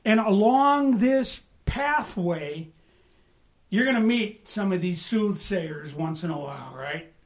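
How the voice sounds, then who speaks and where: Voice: mid-range voice, animated, takes it slowly. A pastor teaching a Bible study in a conversational way, often asking the room "right?" and "amen?", with bursts of emphasis.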